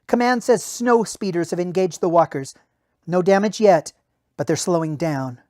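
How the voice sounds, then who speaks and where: A woman's voice: fairly low pitch, normal speed, a little mellow, dry recording, clean studio sound, voice pushed up, natural voice. A man voicing an alert female rebel soldier in an audiobook-style reading, reporting to a sergeant in urgent, clipped phrases.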